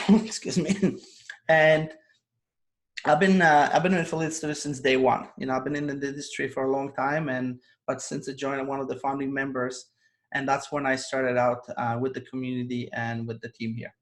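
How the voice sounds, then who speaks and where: A man's voice: mid-range voice, level tone, normal speed. A man, a guest telling his story earnestly on a video call and laughing often. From Poland. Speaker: guest, online business coach. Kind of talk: casual interview over video call.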